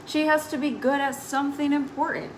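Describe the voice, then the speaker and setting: A woman's voice: high-pitched, steady tone, fairly quick, background noise, voice pushed up, close to an everyday voice. A woman voicing a spirited, grumbling tomboy fairy from a storybook, telling her tale expressively. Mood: defiant. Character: spirited tomboy fairy, hundred years old, grumbling about dainty rules.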